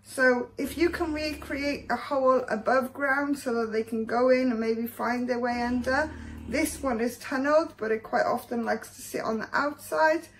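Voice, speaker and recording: A woman's voice: high-pitched, moderate pace, a little hiss or hum, poor audio. Woman playing a chatty male spider keeper. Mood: earnest. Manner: informative, steady, reads facts. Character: male tarantula keeper giving a care guide. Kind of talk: parody of a pet-care video. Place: small room lined with spider tubs.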